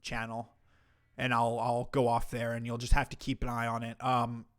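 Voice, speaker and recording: A man's voice: medium pitch, completely flat delivery, moderate pace, a bit bright, smooth. A man hosting a livestream, reacting to a clip with mocking, profane commentary. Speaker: commentary streamer host.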